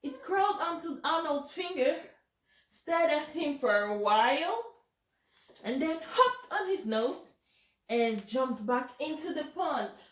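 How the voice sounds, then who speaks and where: Woman storytime host, high voice, unhurried picture-book delivery for young children, echoey room. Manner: expressive, addressing young listeners directly. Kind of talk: children's storybook read-aloud. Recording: roomy, echoing home recording.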